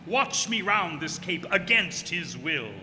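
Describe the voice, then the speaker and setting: Booming, defiant mad sea captain. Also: male voice, lively intonation, takes it slowly, bright, forceful tone, exaggerated voice. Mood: scornful. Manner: grandiose, archaic, commanding declamation. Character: mad, defiant, blaspheming Dutch sea captain, proud tyrant. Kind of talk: old-time radio drama acting. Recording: hall reverb, faint background noise.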